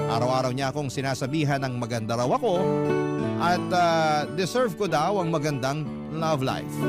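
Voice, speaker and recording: A man's voice: medium pitch, lightly acted. A Filipino man reading a young woman's wistful first-person love letter as the narrator of a radio drama. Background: loud acoustic guitar music.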